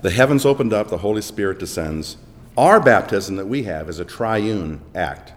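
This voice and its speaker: A man preaching a sermon with dramatic swings between booming emphasis and hushed asides, in a church. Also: lively intonation, normal speed. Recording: long echo of a large hall.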